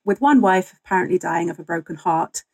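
Woman historian chatting in an interview, bit of creak in her voice, candid and wry. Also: fairly low pitch, fairly quick. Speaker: guest author and historian. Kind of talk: conversational interview, telling history.

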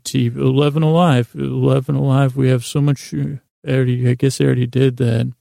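A man hosting a bedtime podcast in a gravelly, creaky voice, rambling in a halting, meandering, gently silly way and often interrupting himself. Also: medium pitch, no room sound, studio quality. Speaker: podcast host.

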